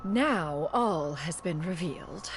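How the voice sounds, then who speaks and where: A woman's voice: fairly low pitch, expressive, slow pace, slight background noise. A woman voicing a dignified, stern but tender ancient crane immortal in a video game, speaking in a formal, stately, archaic way. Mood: solemn, tender. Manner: formal, stately, archaic self-reference as "one". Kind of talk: scripted game story narration.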